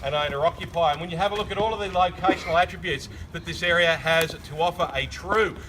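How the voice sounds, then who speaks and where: An Australian man working as an auctioneer, delivering a loud, projecting, formal sales spiel outdoors on a suburban street. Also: on the high side, fast, noisy background.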